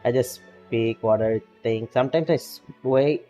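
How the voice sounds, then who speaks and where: A man with a non-native English accent chatting casually while streaming a game, halting and full of fillers. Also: mid-range voice, warm tone, faint music behind. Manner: halting, filler-heavy, self-conscious. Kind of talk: casual live game commentary.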